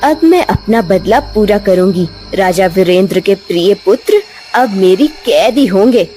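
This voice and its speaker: A woman's voice: mid-range voice, expressive, a little slow, dark tone, close to an everyday voice. Serpent villainess for a cartoon fairytale, played by a woman: vengeful, menacing, declamatory, over a music bed. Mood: cold vengeance. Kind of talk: Hindi cartoon fairytale voice acting.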